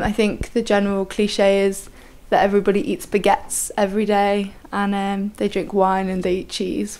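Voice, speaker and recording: A woman answering interview questions thoughtfully, with frequent ums. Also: mid-range voice. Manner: reflective, many ums. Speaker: student or lecturer interviewee.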